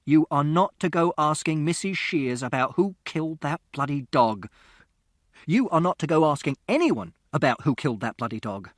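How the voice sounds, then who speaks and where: A man's voice: on the high side, a bit bright, no room sound, studio quality. A man reading an audiobook, voicing an exasperated middle-aged father who scolds and raises his voice.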